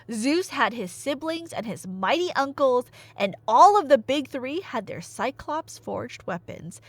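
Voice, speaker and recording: A woman's voice: sits high, fairly bright tone, no room sound. A woman telling a story in a casual, rambling ASMR style, playful and slangy with wry asides. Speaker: storyteller playing the listener's nerdy girlfriend.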